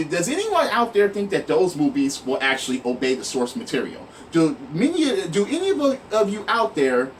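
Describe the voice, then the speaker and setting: Male online host, fairly high and very animated, emphatic exasperated rant with swearing, recorded off-mic with audible noise. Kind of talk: opinionated rant to viewers. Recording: noisy, distant mic, room sound.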